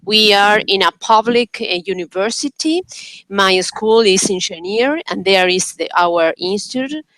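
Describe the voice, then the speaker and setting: A woman's voice: medium pitch, unhurried, a bit bright, no room sound, poor audio. A woman introducing herself as a professor and director on a video call, with hesitant wording and frequent fillers. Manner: hesitant, searching for words, many fillers. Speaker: university professor and institute director.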